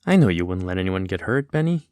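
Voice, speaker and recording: A man's voice: sits low, sing-song, fast talker, a little mellow, clean tone, dry recording, studio quality. A man playing a polite, principled, well-off teenage boy in an audio drama, earnest and courteous. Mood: concerned, pleading.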